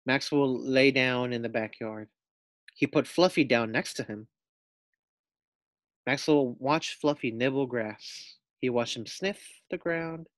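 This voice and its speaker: A man's voice: medium pitch, no room sound. A man reading a children's storybook aloud as a teacher, expressively, with explanatory asides and questions to pupils.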